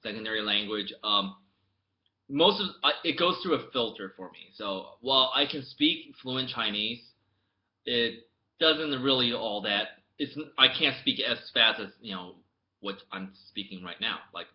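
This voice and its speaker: A young man from the United States, chatting casually to his camera as a vlogger. He tells his story in a rambling way with many restarts, and it swings from mumbled asides to loud outbursts. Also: on the low side, unhurried, highs cut off. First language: English. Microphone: laptop webcam mic.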